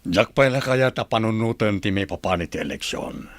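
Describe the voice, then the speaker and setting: A man acting an earnest, modest provincial governor and fond father in a radio drama, speaking in a measured, sincere way. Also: lowish voice, animated, no room sound.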